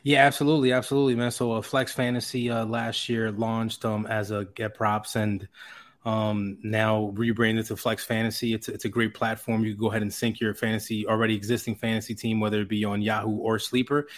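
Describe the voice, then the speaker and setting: A man's voice: lowish voice, little pitch movement, a little fast. A man representing a sponsor on a podcast, talking casually and hesitantly with many fillers.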